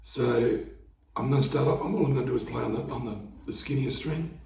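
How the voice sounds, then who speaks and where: A man with a hoarse, fading voice, casually explaining how he plays guitar over backing tracks in a laid-back, rambling way. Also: medium pitch, brisk, a little mellow, recorded from a distance, slight background noise. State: hoarse, losing his voice. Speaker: market busker teaching guitar. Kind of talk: casual instructional talk. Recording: roomy sound, away from the mic, guitar playing behind.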